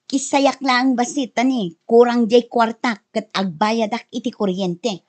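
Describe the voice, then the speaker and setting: A woman acting a sharp-tongued, demanding wife in an Ilocano radio drama, with a nagging, dramatic delivery. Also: on the high side, steady tone, a little slow. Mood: irritated.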